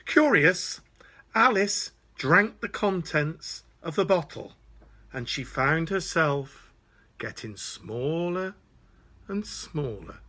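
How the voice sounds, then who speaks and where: Male storyteller for children's bedtime tale: bright, slightly husky voice, expressive and deliberate. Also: on the high side.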